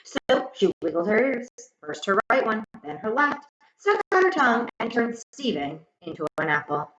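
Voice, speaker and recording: A woman narrating a children's story aloud in an expressive, dramatic, carefully enunciated way. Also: fairly high pitch, normal speed. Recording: echoey room, slightly muffled sound.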